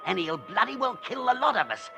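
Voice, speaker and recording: A man's voice: high voice, very animated, fairly quick, vocal fry, heavily acted. An actor playing a riled, foul-mouthed working-class London man with a Cockney accent in an old radio drama. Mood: indignant. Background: music.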